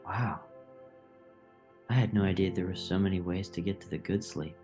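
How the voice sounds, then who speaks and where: An earnest young mouse voiced by a man in an audio drama, naive and a little hesitant. The voice sits on the low side, with music clearly playing behind it. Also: fairly even intonation, moderate pace, darkish tone, lightly acted. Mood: naive, curious.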